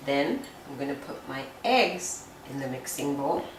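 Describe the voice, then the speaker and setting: Slow, low-voiced woman cooking in an echoey kitchen. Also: animated, recorded from a distance, a little hiss or hum. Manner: slow, homely and chatty. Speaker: home cook presenting a recipe. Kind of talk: step-by-step cooking demonstration. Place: home kitchen. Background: clinking pots and cutlery.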